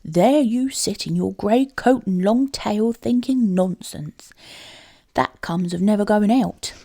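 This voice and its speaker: A woman's voice: medium pitch, slow pace, dark tone. A woman voicing a sly, greedy male cat in a storybook, smug and dismissive, with emphatic delivery. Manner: smooth-talking, dismissive, emphatic. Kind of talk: storybook character voice.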